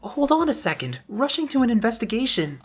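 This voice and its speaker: A woman's voice: on the high side, very animated, fairly quick, recorded from a distance, faint music behind. A woman playing an earnest, uncertain teenage boy who narrates an anime game fan dub in a thoughtful way. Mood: shocked.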